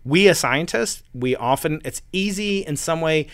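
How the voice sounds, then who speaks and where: A man, a physicist guest, telling stories and pushing back in a lively conversational interview. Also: fairly high pitch, normal speed, no echo, clean studio sound. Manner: animated, storytelling, pushes back. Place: university building.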